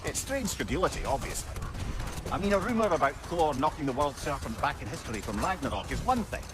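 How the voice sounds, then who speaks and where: Wry old companion for a fantasy game: talkative, witty, very mobile pitch over music. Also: male voice, very animated, quick pace. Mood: skeptical, teasing. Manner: wry, chatty, colourful phrasing. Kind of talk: video game voice acting.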